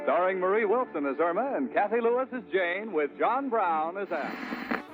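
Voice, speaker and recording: A man announces a radio comedy in 1940s style, high and animated. The sound is thin and phone-like, with music behind. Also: moderate pace. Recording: thin, band-limited vintage radio broadcast.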